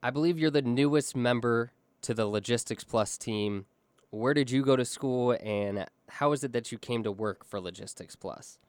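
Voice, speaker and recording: A man interviewing employees, asking smooth prepared questions. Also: mid-range voice, moderate pace, no echo.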